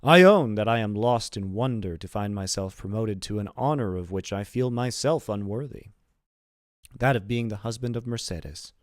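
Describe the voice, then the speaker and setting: A man's voice: on the low side, moderate pace, no room sound, studio quality, natural voice. A man reading the part of a happy, earnest young sailor bridegroom in a storybook, eloquent and emphatic. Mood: earnest wonder.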